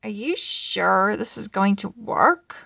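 A woman's voice: medium pitch, lively intonation, slow pace, gravelly, sharp tone, dry recording, clean studio sound, heavily acted. A woman voicing a proud, sassy female cat from a children's storybook in a haughty, teasing way. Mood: grudging, teasing.

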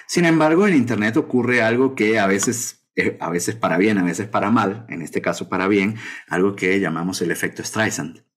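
A man's voice: fairly low pitch, normal speed, a bit bright. A middle-aged man gives a conversational conference talk over slides, often adding rhetorical tag questions. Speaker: lecturer on academic publishing. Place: indoor room at a desk. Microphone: video call webcam mic.

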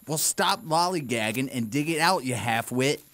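A man's voice: medium pitch, expressive, normal speed, fairly bright tone, natural voice. Man playing an irritable small-time crook, faint noise. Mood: bossy, scornful. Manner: gruff, impatient, streetwise. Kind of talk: audio theater acting.

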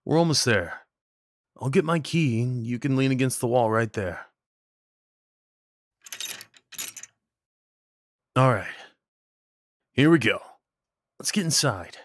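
A man acting a kind, slightly awkward neighbor in an audio roleplay, talking in a gently concerned, hesitant, conversational way. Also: medium pitch, animated, unhurried, pauses often, no room sound, studio quality. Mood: light, reassuring. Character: kind, awkward, caring male neighbor comforting someone. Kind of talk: ASMR roleplay, soft one-sided dialogue acting.

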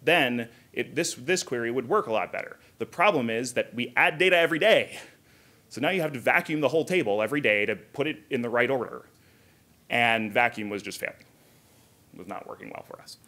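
A man's voice: mid-range voice, lively intonation, brisk, bright, forceful tone. A man in his thirties giving an informal technical conference talk, explaining conversationally with asides from a podium. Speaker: conference speaker, software engineer. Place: hotel conference room, indoors.

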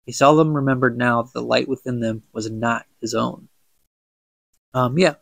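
A man's voice: mid-range voice, normal speed, gravelly, no echo. A young man with a stuffy nose, reading a book aloud for a podcast and chatting about it, stumbling over words and laughing at his slips. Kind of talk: casual book reading with commentary.

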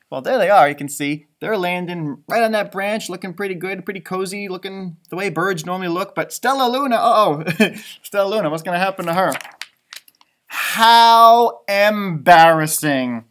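Very animated male storybook reader. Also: sits high. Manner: expressive, dramatic delivery for children. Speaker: elementary teacher reading aloud. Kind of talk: animated storybook read-aloud with asides. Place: indoor library room with bookshelves.

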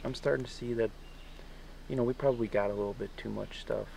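A man's voice: medium pitch, steady tone, quick pace, creaky, darkish tone, recorded from a distance, slight background noise, low-quality recording. A man in his thirties, a first-time canoe camper, talking earnestly and a little hesitantly to the camera outdoors in a pine forest. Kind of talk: casual outdoor video diary.

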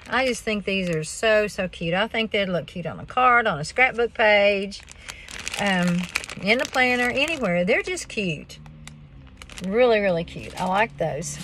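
A woman, chatting casually in a folksy, rambling way as she shows things on a craft vlog. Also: mid-range voice. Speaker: craft vlogger. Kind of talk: casual chat, show-and-tell. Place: inside a parked car on a rainy day. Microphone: phone at arm's length. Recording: noisy car phone audio, crinkling packaging. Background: clear noise, crinkling and clicks.

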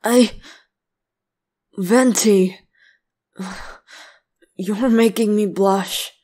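A woman's voice: mid-range voice, fairly even intonation, very slow, warm tone, breathy edge. A woman voicing a brooding, clingy, possessive young male demon slayer in a boyfriend ASMR roleplay, grumbling and hesitant between sighs. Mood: flustered.

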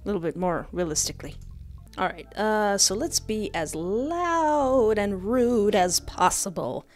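Female let's-play streamer, mid-pitched, playful and expressive, reading and commenting over faint game music. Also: measured pace. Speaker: gaming streamer. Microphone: close desk microphone with headset.

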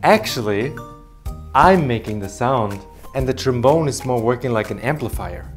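A man in his thirties with an Austrian accent, a guest trombonist patiently explaining his instrument to children in a bright studio. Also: medium pitch, animated, faint music behind. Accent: Austrian German. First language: German. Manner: slow, patient explaining with light laughs. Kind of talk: friendly teaching for kids.

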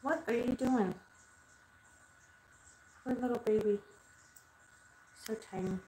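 A woman's voice: on the high side, takes it slowly, dark tone, roomy sound, poor audio. A woman in her thirties chatting loosely with her livestream viewers from a small bedroom into a webcam, rambling and swearing freely. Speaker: livestreaming spiritual medium. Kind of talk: casual livestream chat. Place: small bedroom under red light. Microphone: webcam at desk distance.

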